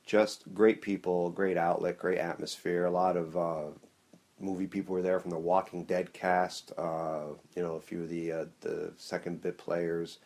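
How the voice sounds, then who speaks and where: A man's voice: deep voice, level tone, moderate pace, darkish tone. A middle-aged man hosting a podcast, chatting casually in a solo monologue. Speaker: podcast host.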